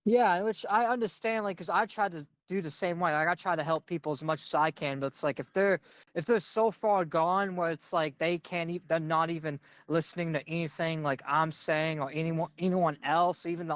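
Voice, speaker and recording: A loud young man chatting on a reaction stream, rambling with plenty of filler words. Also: a little high, moderate pace, no echo, lo-fi sound. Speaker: commentary streamer. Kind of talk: casual reaction chat.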